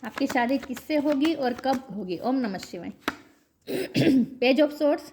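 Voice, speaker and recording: A woman's voice: sits high, expressive, a little mellow, a little hiss or hum. A woman with a sore, coughing throat, giving a tarot reading to her viewers and chanting between card draws. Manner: chants a mantra between card draws, slow repeated questions. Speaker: tarot reader.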